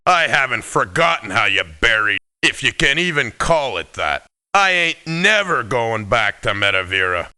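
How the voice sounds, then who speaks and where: Forceful, gruff game mercenary. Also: male voice, mid-range voice. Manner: blunt, emphatic, grumbling. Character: gruff, aggrieved, hard-bitten male mercenary. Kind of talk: video game character voice acting.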